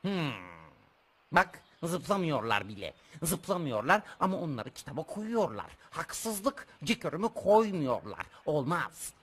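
An actor voicing a stubborn, self-important, childish bug puppet in a children's TV show, repeating himself insistently in a husky voice. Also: male voice, fairly high pitch, big pitch swings, unhurried, a bit bright, exaggerated voice. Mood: sulky, angry. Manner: repetitive, insistent, turns phrases around.

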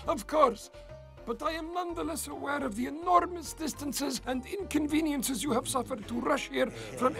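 A man's voice: very high voice, creaky, fairly bright tone, exaggerated voice. A man playing a genial, long-winded cartoon servant who speaks in florid, ceremonious phrases. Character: genial loquacious servant of a desert prince. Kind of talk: cartoon character acting.

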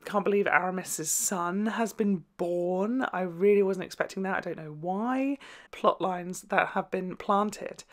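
A man's voice: sits high, creaky, a bit bright. A man in his twenties giving casual, informal reaction commentary with a self-deprecating, gushing manner. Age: 20s. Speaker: reaction video host. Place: small room at home, indoors.

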